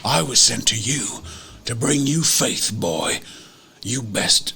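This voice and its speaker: A man's voice: medium pitch, expressive, takes it slowly, vocal fry, sharp tone, slight background noise, voice pushed down, heavily acted. Man acting out a grandiose Bible-bashing lawman. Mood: solemn, preaching. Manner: grandiose preacher-like declarations. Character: stern Bible-bashing lawman preaching doom and salvation.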